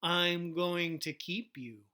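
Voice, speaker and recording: A woman voicing an earnest, excitable young boy who owns a pet fish, in an expressive picture-book reading. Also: on the low side, slow. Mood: firm.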